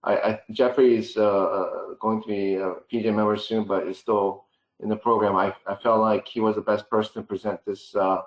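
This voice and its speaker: Low-ish guy on a video call, lots of ums and restarts, echoey room. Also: steady tone. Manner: halting, many fillers and restarts. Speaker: program organizer.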